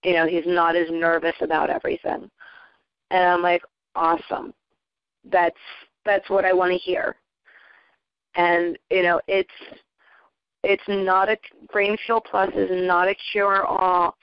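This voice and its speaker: A woman's voice: lowish voice, little pitch movement, measured pace, long pauses, clean tone, dry recording, highs cut off. A middle-aged woman giving a casual personal testimonial, telling her story conversationally as a parent on a recorded call. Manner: conversational, retelling dialogue in quotes. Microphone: recorded conference call.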